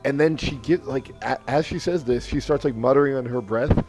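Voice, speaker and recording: A man's voice: mid-range voice, a little fast, a little mellow. A man on a podcast panel, casually discussing a game. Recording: music behind the voice. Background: music.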